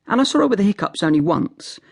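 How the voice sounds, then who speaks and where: An actor playing a naive boy narrator in a radio drama, recalling his Communist-era childhood in a deadpan, matter-of-fact way. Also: high voice, quick pace, creaky, heavily acted. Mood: wry, deadpan.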